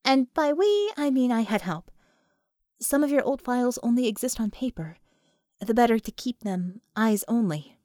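A woman's voice: on the high side, expressive, moderate pace, a little mellow, no echo, clean studio sound, natural voice. A woman narrator voicing a frank, savvy female mage in an audiobook, matter-of-fact in manner.

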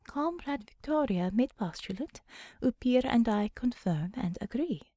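A learned Russian woman doctor from a superhero audiobook, as a female reader puts her on. She is warm and deliberate, with a Russian accent, broken English and a fairly high voice. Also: animated, dry recording, clean studio sound. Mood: warm, grave.

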